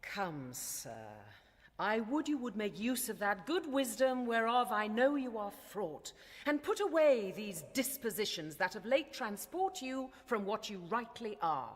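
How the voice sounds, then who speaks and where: A cold, haughty king's daughter in a classical radio drama. Her voice is fairly high and swings widely as she delivers formal, measured reproach, wrapped in long reverb. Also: lively intonation. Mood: stern reproach. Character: cold, haughty royal daughter scolding her aged father.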